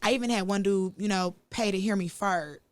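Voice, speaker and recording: A woman from Detroit with a deep raspy voice, co-hosting a casual podcast chat, playful and quick to laugh. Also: steady tone, dry recording. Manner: playful, laughs often, drops to whispers. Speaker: podcast co-host.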